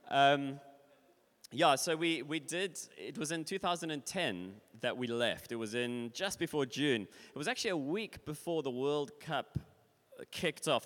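A man in his forties from Africa, sharing his testimony at a church service in a relaxed, rambling way. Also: mid-range voice. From South Africa. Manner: casual, rambling, with fillers and laughs. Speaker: visiting speaker. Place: church hall. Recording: long hall reverb.

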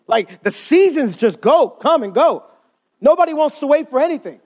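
A man's voice: extremely high pitch, big pitch swings, moderate pace. A male pastor preaching a sermon in a conversational way, often checking in with the congregation for responses. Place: church service.

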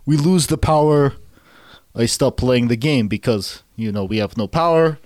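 A man voicing a naive, earnest Bulgarian gamer who speaks halting, broken English with a Bulgarian accent and searches for words. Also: medium pitch, animated, a little mellow, dry recording, studio quality, lightly acted. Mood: earnest, blunt.

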